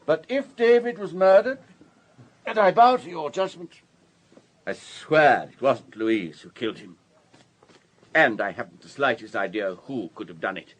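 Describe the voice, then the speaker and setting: A man's voice: fairly high pitch, measured pace, poor audio. An actor in a radio drama playing an urbane, wealthy businessman with a polished, courteous, storytelling manner. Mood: earnest, defensive. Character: urbane, wealthy older businessman, discreet and self-assured.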